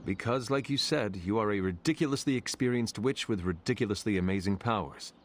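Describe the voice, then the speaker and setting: An actor playing a calm, resolute demon hunter in a video game, measured, earnest and slightly formal. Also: male voice, on the low side, slight background noise, lightly acted. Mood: measured, reassuring. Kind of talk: dramatic video game acting.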